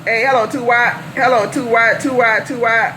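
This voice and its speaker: A woman's voice: a little high, fairly even intonation, moderate pace. American woman bantering on noisy CB radio. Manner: folksy, repeats calls and handles. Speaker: CB radio operator. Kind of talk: CB radio banter full of slang and sign-offs. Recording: noisy live CB radio setup.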